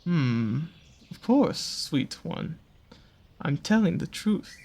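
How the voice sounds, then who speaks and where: A performer voicing a seductive predatory naga with a soft spot for cute creatures, speaking in a teasing, coaxing way in a roleplay audio drama. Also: mid-range voice, big pitch swings, creaky, full character voice. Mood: coaxing, tender. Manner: slow, teasing, coaxing, with pet names and drawn-out pauses. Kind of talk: scripted roleplay audio drama addressed to the listener.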